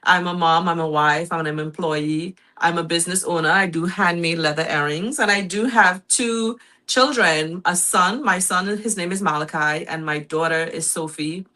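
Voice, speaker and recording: A woman's voice: on the low side, fairly even intonation, normal speed, sharp tone. A middle-aged woman with a Trinidadian accent, chatting casually as a guest on a talk show. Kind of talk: casual self-introduction and chat. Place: remote call on a phone.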